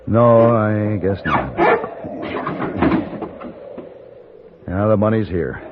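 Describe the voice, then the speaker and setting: A man's voice: fairly low pitch, expressive, unhurried. An American man playing a cool, taunting, unafraid Western stranger in an old-time radio drama. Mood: subdued. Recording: tinny noisy old radio recording.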